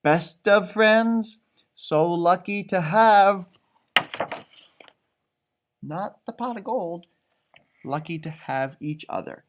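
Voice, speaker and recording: Expressive man reading to children, very animated pitch. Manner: expressive, musing, speaking simply to children. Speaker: storybook reader, elementary school teacher. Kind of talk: children's read-aloud with asides.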